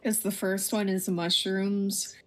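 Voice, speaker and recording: A woman's voice: medium pitch, flat delivery, slow, fairly bright tone, faint music behind. A woman co-hosting a game livestream, chatting casually and playfully as she guides the play. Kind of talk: casual chat while guiding a game.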